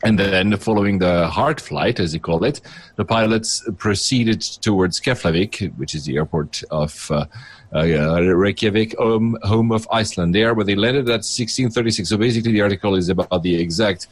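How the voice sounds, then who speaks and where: A man's voice: lowish voice, normal speed. A man from Switzerland, a podcast co-host, chatting casually and rambling through a story with many fillers and restarts. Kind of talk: casual chat.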